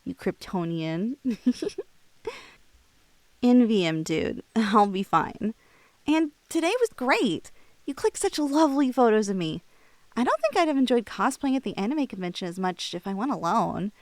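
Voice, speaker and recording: Tipsy young woman played by a female voice actor for ASMR roleplay: playful, teasing, highish voice, unhurried drawl, rambling thoughts. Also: sing-song, no room sound. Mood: fond, shy. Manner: rambling, teasing, halting with giggles and trailing thoughts.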